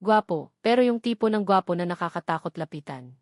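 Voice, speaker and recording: A woman's voice: on the low side, animated, fairly quick, no echo, clean studio sound. A woman narrating a scripted romance drama as a storyteller.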